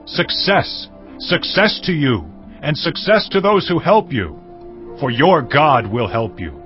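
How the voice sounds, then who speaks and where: A man's voice: fairly high pitch, lively intonation, takes it slowly, muffled recording. A man playing a fervent, loyal warrior chief, proclaiming with passion in a dramatized scripture reading. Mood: fervent pledge. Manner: impassioned, proclaiming. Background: background music.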